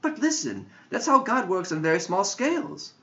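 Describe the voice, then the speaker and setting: A man's voice: high voice, big pitch swings, normal speed, fairly bright tone, pitched above the performer's own voice, exaggerated voice. A man voicing a wise, patient but challenging woman mentor in an audiobook story, speaking directly and instructively. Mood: firm. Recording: dull lo-fi audio.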